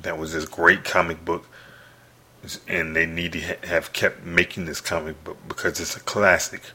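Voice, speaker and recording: A man with a deep voice keeps his volume down as he hosts a solo show from his apartment. His delivery is monotone but edged and forceful, and he rambles, stopping and restarting his sentences. The tone stays hushed and halting throughout. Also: husky. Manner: speaks softly, hushed; halting, many restarts and fillers. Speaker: podcast host. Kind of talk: rambling solo podcast monologue.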